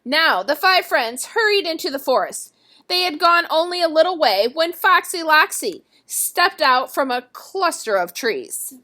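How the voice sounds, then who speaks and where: High, bright-voiced woman storyteller. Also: sing-song, unhurried. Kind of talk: expressive children's story reading.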